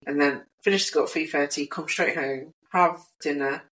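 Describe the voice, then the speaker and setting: A woman's voice: low voice, moderate pace, poor audio. Laughing woman recalling her childhood. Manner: relaxed storytelling, laughs often. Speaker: guest sibling. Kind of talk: casual podcast chat.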